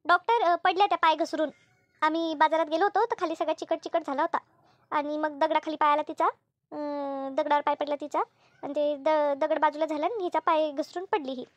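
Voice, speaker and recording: A woman's voice: sits high, steady tone, a little fast, no room sound, natural voice. A woman voicing a concerned, chatty village neighbour who readily scolds, in an amateur cartoon comedy dub.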